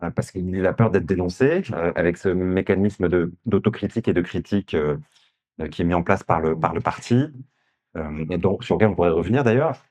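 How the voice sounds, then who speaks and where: Hesitant low-voiced French man. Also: fairly quick, a little mellow. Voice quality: hesitant, many fillers and restarts. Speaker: author at a literary talk. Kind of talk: hesitant spoken explanation, asking questions. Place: festival conference room.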